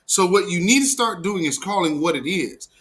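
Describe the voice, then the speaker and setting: A man's voice: sits high, animated, moderate pace, a bit bright. An American man hosting a live commentary show, talking in a casual, opinionated, mocking and sarcastic way. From Portland, Oregon, United States.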